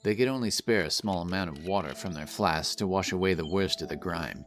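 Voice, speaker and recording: Man, deep voice, scripted fantasy narration, storyteller, faint music behind. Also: fairly quick.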